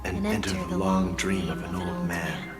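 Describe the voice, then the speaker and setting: A man's voice: low-pitched, fairly even intonation, normal speed, natural voice. An actor playing a weary old male traveller who looks back on his life in a solemn, reflective game monologue. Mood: gentle, resolute. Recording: long reverberant hall, music behind.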